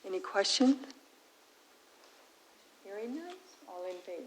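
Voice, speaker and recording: A woman chairing a township board meeting who is losing her voice, moving briskly through motions and votes. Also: medium pitch, expressive, breathy edge, rough recording. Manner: brisk procedural calls for votes. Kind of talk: running a public meeting.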